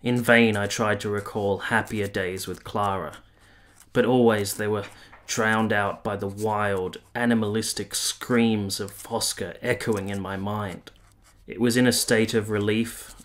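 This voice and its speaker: Actor as troubled soldier-narrator, male: low, flat-toned, grave, formal storytelling for period audio drama. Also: close to an everyday voice. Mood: grave, haunted. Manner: grave, measured, literary. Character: haunted, horrified male soldier narrating his memories. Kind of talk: scripted first-person radio drama narration.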